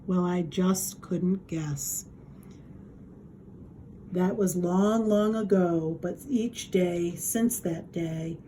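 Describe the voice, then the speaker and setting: A woman reading a children's story aloud in an expressive, measured and deliberate way. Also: lowish voice, a little hiss or hum, poor audio. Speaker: storybook reader.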